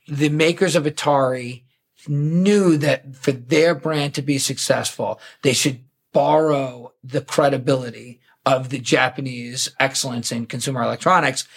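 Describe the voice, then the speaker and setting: A man's voice: mid-range voice, a little slow, creaky, fairly bright tone. A man hosting a podcast, telling stories with enthusiasm in casual chat. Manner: enthusiastic, storytelling. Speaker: podcast host.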